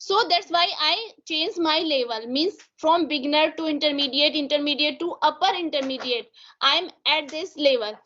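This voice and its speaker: High bright-voiced Indian woman, dull audio. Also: moderate pace. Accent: Indian English. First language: Hindi. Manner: hesitant, many fillers and restarts. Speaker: English learner asking questions. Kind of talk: conversation practice chat. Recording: dull lo-fi sound.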